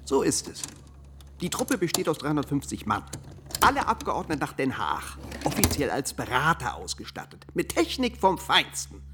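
An actor playing an intense, suspicious informant in a radio thriller, speaking in clipped, emphatic phrases. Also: male voice, a little high, huge pitch swings, normal speed, background noise. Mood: grim, emphatic.

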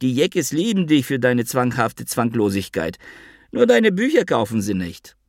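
A man's voice: very animated, normal speed, vocal fry, no room sound. A male narrator doing a pampered, blustering fat man whose voice climbs into a whiny squeal. He pleads, taunts and wheedles in turn. The read is close and dry, with no room around it. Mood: mocking. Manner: whining, wheedling, high and squealing.

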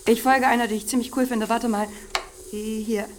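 A young actress playing a breezy, cheeky teenage daughter with a casual way of talking in a radio drama. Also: female voice, on the high side, steady tone, normal speed, noisy background, natural voice.

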